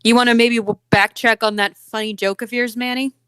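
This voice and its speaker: A woman's voice: a little high, fairly even intonation, brisk, a bit bright, clean tone, no echo. A woman chatting on a casual group stream with a sarcastic edge.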